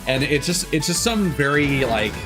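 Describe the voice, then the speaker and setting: A man live-streaming a game in a casual, rambling way. His voice runs a little high with lively swings, sarcastic and exasperated, over faint game music. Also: moderate pace.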